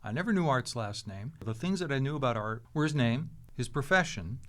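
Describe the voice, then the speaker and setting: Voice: medium pitch, expressive, fairly quick. A podcast panelist telling small stories in a casual, conversational way. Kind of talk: casual storytelling chat.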